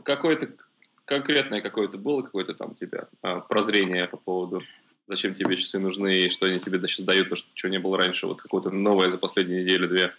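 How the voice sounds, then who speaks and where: A man's voice: sits low, steady tone, fast, fairly bright tone. A man co-hosting a casual tech podcast, asking questions with stammers and restarts. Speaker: podcast co-host.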